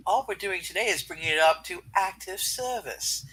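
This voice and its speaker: Polite, reassuring woman embassy chief, voiced by a man, high and bright, eager to help in a noisy amateur comic reading. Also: animated, moderate pace, slightly rough, full character voice.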